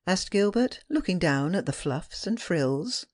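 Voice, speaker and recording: An audiobook narrator with a mid-pitched voice reads classic fiction. The delivery is scripted, expressive and tender, with a dramatic storytelling feel. The sound is very dry and close. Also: measured pace, no room sound.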